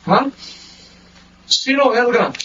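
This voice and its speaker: A man's voice: extremely high pitch, animated, slow, pushed and bright, dull audio, a little hiss or hum, full character voice. An actor playing a modest, respectful young male employee in a Filipino radio drama, deferential in manner. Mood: surprised.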